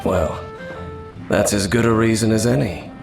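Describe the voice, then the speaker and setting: An actor playing an aloof, brooding, mysterious young wanderer in a video game, terse and guarded. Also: male voice, on the low side, moderate pace, vocal fry. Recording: echoey, distant, music behind.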